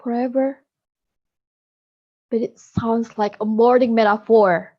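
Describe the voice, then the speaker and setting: A woman recites a spoken-word poem with a bitter edge. Her voice is high, and she takes each line slowly. The recording sounds dull and lo-fi. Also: fairly even intonation. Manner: slow, measured, bitter delivery. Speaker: poetry contestant.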